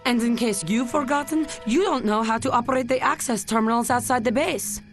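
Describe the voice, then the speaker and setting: A woman's voice: on the high side, brisk, soft background music, close to an everyday voice. A woman playing a proud, strong-willed secret agent in a video game, dry and assertive. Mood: defiant. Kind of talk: video game cutscene acting.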